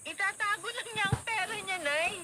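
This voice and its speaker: An actress playing a spoiled, spiteful student daughter in a radio drama, snippy and dismissive. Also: female voice, very high voice, moderate pace. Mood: scornful, tattling. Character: spoiled, spiteful student daughter, scornful of her thrifty cousin. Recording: noisy radio drama broadcast.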